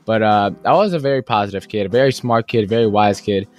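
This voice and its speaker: A young man in his twenties, a guest entrepreneur, telling his story in an interview in an earnest, fast-flowing way with many "you know"s and "right?"s. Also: lowish voice, soft background music. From United States. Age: young adult.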